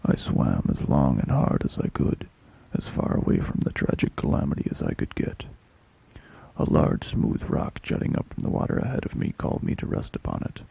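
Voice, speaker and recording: A man narrating a dramatic first-person sea story as a shipwrecked fishing captain, intense, theatrical and deliberate, with a gravelly, husky voice. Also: very deep voice, wildly swooping pitch, warm tone, poor audio. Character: shipwrecked fishing captain telling his tale.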